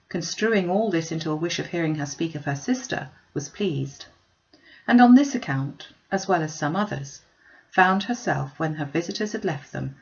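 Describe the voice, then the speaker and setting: A woman reading a classic novel aloud as scripted narration, in a measured, formal storytelling delivery. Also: sits low, gravelly, dull audio. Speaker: audiobook reader.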